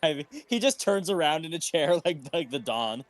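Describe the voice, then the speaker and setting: A man's voice: a little high, expressive, normal speed. A man playing a tabletop game, chatting and joking casually, with some noise on his recording.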